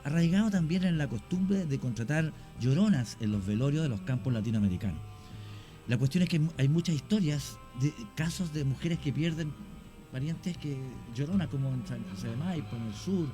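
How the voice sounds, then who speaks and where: A man, a radio guest telling an old ghost legend in a measured way with some "eh" fillers. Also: fairly high pitch, expressive, warm tone, faint music behind. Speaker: guest storyteller.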